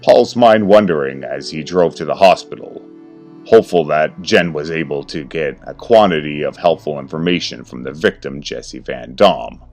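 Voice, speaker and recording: Male narrator, low-pitched, scripted horror fiction, faint music underneath. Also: moderate pace, a little mellow.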